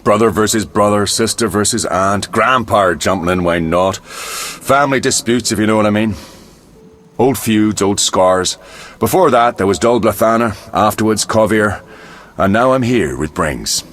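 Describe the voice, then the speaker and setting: A man's voice: fairly low pitch, moderate pace, a bit bright, slight background noise, lightly acted. A man playing a world-weary mercenary quartermaster in a video game, wry and speaking in clipped phrases. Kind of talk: video game dialogue acting.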